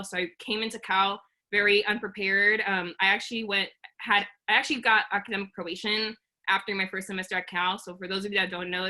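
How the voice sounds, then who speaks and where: Earnest woman from oakland talking quick, bright tone, lots of um's, webinar style. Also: medium pitch, rough recording. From Oakland, California. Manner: earnest, uses many fillers and restarts. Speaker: webinar presenter. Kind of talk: presenting a career webinar.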